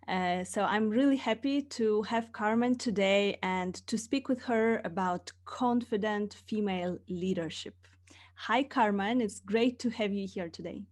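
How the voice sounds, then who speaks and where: A woman's voice: medium pitch, measured pace, a little mellow. A woman hosting a podcast interview, introducing her guest with frequent hesitant "uh" fillers. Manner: hesitant, many filler uhs. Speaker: podcast host.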